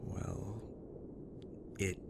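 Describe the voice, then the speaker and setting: A man acting in an audio drama as a bitter, wounded, foul-mouthed survivor with a halting, raw delivery. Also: heavy background noise. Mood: halting, pained.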